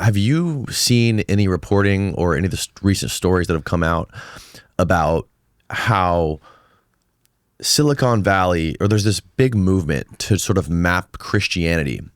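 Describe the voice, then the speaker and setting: A man's voice: deep voice, animated, normal speed, no echo. A man hosting a podcast, talking in a casual interview style close to the microphone. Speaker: podcast host.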